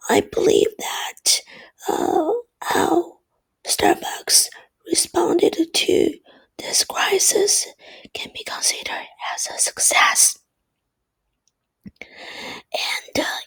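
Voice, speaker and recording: A woman with a bad cold gives a class presentation, her voice hoarse and almost gone. She mostly whispers in a gravelly, scratchy way and talks slowly, pausing on fillers. What voice she has left sits high. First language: Chinese. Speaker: student presenter.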